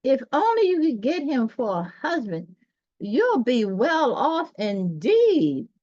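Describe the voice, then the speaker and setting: A woman voicing a kindly, motherly field mouse in a children's storybook, coaxing with drawn-out, emphatic words. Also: sits high, huge pitch swings, mellow, dry recording, highs cut off, pitched above the performer's own voice, exaggerated voice. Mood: coaxing, gushing. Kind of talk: children's storybook read-aloud.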